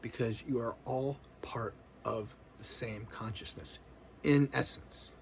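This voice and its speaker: Gravelly man in his forties. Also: medium pitch, fairly even intonation, slow, a little hiss or hum. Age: 40s. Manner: loose, earnest, casual with slang and filler. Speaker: spiritual vlogger. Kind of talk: rambling spiritual monologue.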